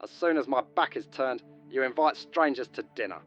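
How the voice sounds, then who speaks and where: A man playing a loud, imperious expedition leader barking orders in an audio drama. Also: mid-range voice, fairly quick, lightly acted. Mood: blustering. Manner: loud, brash, commanding. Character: blustering, imperious male expedition leader on radio. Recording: dull radio transmission over music.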